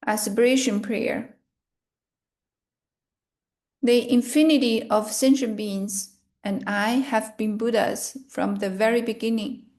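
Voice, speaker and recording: A woman leading a guided meditation and reciting prayers in a measured, solemn manner, in short phrases with long pauses. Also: fairly high pitch, steady tone, a little mellow.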